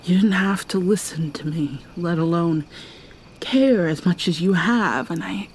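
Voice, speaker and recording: A woman voicing a gentle young mermaid in an ASMR roleplay, speaking softly and hesitantly, often in a whisper. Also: fairly low pitch, unhurried, a little hiss or hum. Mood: shy.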